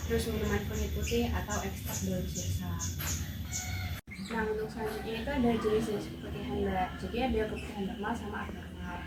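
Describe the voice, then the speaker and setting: Polite young woman, distant and echoey. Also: mid-range voice. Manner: polite, steady explaining. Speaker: pharmacy student educator. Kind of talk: polite health outreach talk. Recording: echoey, distant phone recording with loud noise.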